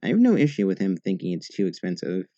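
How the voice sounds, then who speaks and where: A man narrating online posts in a steady, even reading voice. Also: deep voice, quick pace, dry recording. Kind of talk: scripted narration. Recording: slightly dull, dry recording.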